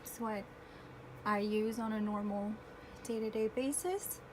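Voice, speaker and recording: A woman in her twenties chatting casually through a makeup tutorial, self-deprecating and often clearing her throat, recorded on her phone at home. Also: mid-range voice, fairly even intonation, unhurried, slight background noise, low-quality recording. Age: 20s. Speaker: beauty vlogger. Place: home room with air conditioner. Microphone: phone camera.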